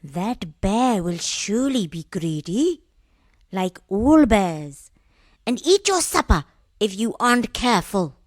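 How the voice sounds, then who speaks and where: A man's voice: high voice, big pitch swings, slow pace, no echo, studio quality, pitched above the performer's own voice, heavily acted. A man voicing a crafty, scornful, bossy peasant wife who bickers and schemes in a children's storybook. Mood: wary, warning.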